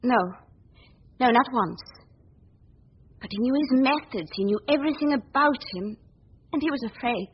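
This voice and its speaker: Anxious, determined young woman: high, husky voice, grave delivery, muffled vintage radio sound. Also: lively intonation, normal speed, pauses often. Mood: earnest. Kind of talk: 1940s radio drama acting. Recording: muffled old 1940s radio recording.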